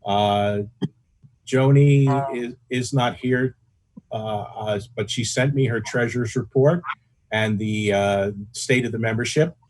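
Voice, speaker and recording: A man's voice: medium pitch, slow, a little mellow, low-quality recording. A man informally chairing a club meeting over a video call.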